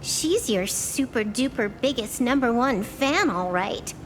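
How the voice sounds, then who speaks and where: High-voiced, cheeky young girl. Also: animated, slight background noise, poor audio. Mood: teasing. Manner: cheeky, informal, drawn-out delivery. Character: sassy, childlike girl with playful slang. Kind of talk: scripted game voice acting. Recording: background music under the voice. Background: music.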